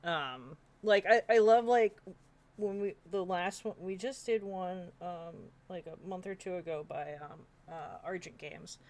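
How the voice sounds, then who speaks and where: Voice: mid-range voice, a little slow, a little mellow. A streamer talking casually and informally on a panel, with some mild swearing. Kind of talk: casual chat. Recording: some background noise.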